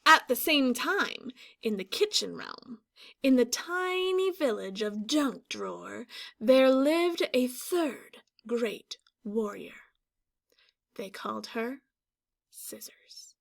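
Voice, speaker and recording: A woman in her thirties, a teacher giving an expressive, dramatic read-aloud of a picture book to children. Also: fairly high pitch, gravelly. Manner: dramatic, drawn-out delivery. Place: small indoor classroom or office.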